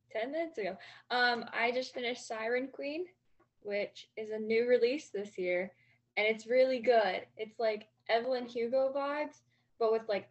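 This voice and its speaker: A teenage girl co-hosting a book podcast, speaking softly and casually and using "like" a lot. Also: a little high. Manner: soft-spoken, uses "like" a lot. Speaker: podcast co-host.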